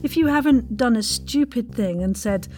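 Podcast host: British woman, higher-pitched voice, chatty, playful and exuberant interviewing style. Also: lively intonation, moderate pace, warm tone. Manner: effusive, playfully exuberant, slangy.

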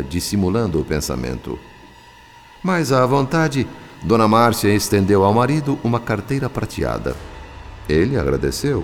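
A man with a Brazilian accent narrating a literary radio drama in a measured, theatrical way with precise diction. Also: sits low. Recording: long reverb, music underneath. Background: music.

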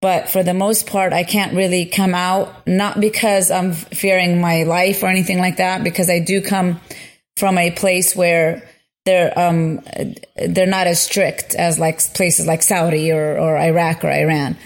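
A woman's voice: fairly low pitch, little pitch movement, a little slow, no echo. A middle-aged woman giving a personal testimony in a voice message, hesitant and searching for her words. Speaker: listener leaving a voicemail.

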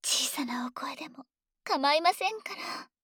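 A woman plays a devoted dragon maid in an intimate ASMR drama, high in pitch and unhurried. Her servant's politeness is possessive and seductive, coaxing the listener and often falling to a whisper. The sound is dry and very close to the microphone. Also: expressive, a bit bright, dry recording. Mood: teasing, coaxing.

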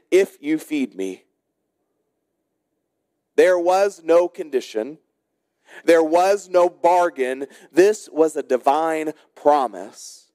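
Higher-voiced male preacher, close dry sound. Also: a little mellow, no echo. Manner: emphatic storyteller, varies between brisk narration and slow dramatic phrasing. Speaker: pastor preaching. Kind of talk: sermon with storytelling and scripture exposition.

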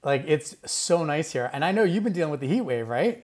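Upbeat man with a higher voice. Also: animated, brisk, darkish tone. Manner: enthusiastic, chatty. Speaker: podcast co-host. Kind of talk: casual podcast chat.

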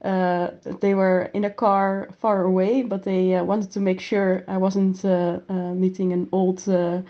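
A woman's voice: medium pitch, level tone, measured pace, dark tone, lo-fi sound. A woman with a Dutch accent, casually sharing personal stories as an interviewee. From Netherlands. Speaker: interviewee sharing her relationship story.

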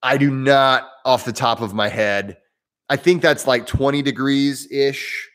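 A man's voice: medium pitch, unhurried, fairly bright tone. A man from southern Indiana with a local accent, hosting a casual livestream and answering viewer questions in a rambling, chatty way with frequent restarts. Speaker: livestream host.

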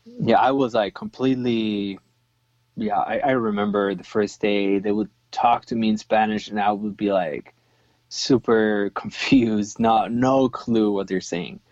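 A man's voice: fairly low pitch, fairly even intonation, a little slow, darkish tone, dry recording, muffled recording. A man from Chile whose first language was Swiss German, talking in a casual interview with many ums and restarts.

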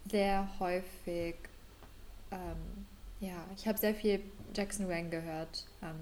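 A woman's voice: fairly low pitch, steady tone, takes it slowly, a little mellow, low-quality recording. Hesitant woman co-host over noticeable background noise. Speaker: podcast co-host. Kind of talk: casual fan chat about pop music.

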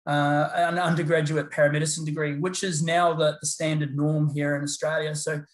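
A middle-aged man with a New Zealand accent, chatting as an interview guest in a relaxed, rambling way full of fillers and restarts. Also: fairly high pitch, brisk. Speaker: guest, paramedicine lecturer. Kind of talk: casual interview chat. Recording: roomy echo.

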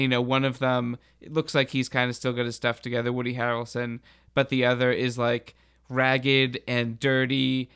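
A man's voice: medium pitch, fairly bright tone, clear, resonant tone. A man chatting casually on a podcast, telling things in a rambling, informal way full of filler words. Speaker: podcast speaker.

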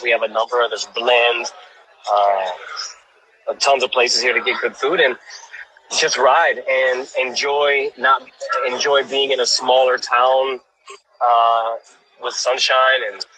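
A man's voice: medium pitch, sharp tone, rough recording. A man chatting enthusiastically on a video call as an interview guest, talking with many restarts and fillers. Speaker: guest, cyclist. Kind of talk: casual video call chat. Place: cycling town, showing a cafe on camera.

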